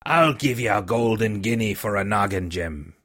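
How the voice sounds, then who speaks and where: A man voicing a sick old sea captain who pleads and blusters in rough sailor's talk with a weak, feeble voice. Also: on the low side, animated, moderate pace, fairly bright tone, pitched below the performer's own voice. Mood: pleading.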